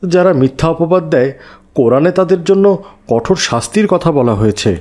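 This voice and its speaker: A man acts out a pious adult male cartoon neighbour. His voice is slightly high for a man, and he admonishes in a measured, fair-minded way. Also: animated, a little mellow, close to an everyday voice. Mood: admonishing. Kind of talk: children's moral cartoon dialogue.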